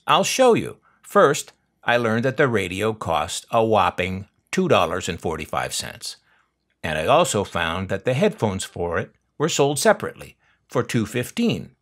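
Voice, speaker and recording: Older American man, very animated, lowish voice. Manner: wry, dryly humorous. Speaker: host of a collector's unboxing channel. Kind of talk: scripted unboxing narration with nostalgic asides.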